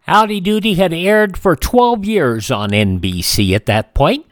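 A man's voice: sits high, expressive, measured pace. A man hosting a radio show, talking through a conversational, partly scripted rundown of history.

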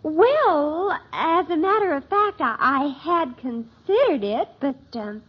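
A melodramatic teenage sister from a vintage radio comedy. Her voice is high and animated, taking its time over the words. The recording is dull. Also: takes it slowly. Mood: polite, flustered. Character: melodramatic, easily exasperated teenage elder sister. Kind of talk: 1950s radio sitcom acting.

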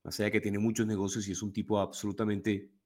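A man's voice: lowish voice, flat delivery, fast talker, a little mellow. A man with a Colombian Spanish accent co-hosting a sports podcast, talking animatedly and restarting his sentences often. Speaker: podcast co-host. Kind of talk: casual sports talk.

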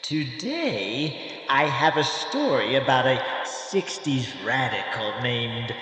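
A man playing a creepy, hospitable morgue attendant who tells horror tales in a halting, theatrical audio drama style. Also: medium pitch, very animated, fairly bright tone. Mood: eerie welcoming. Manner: halting, theatrical, swooping delivery. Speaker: host storyteller of horror anthology. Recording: cavernous reverberant echo, faint noise.

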